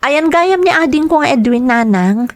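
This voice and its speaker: A woman's voice: high voice, expressive, a little slow. A woman acting a determined, dutiful young adult daughter in an audio drama, with an Ilocano Filipino accent. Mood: earnest, concerned. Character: determined, dutiful grown daughter seeking work abroad.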